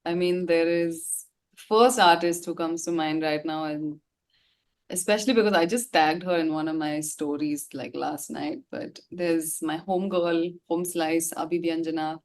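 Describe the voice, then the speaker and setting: A woman's voice: deep voice, fairly even intonation, unhurried. A woman chatting casually as an interview guest, effusive and full of slang. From India. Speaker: singer and musician, interview guest. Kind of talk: casual chat.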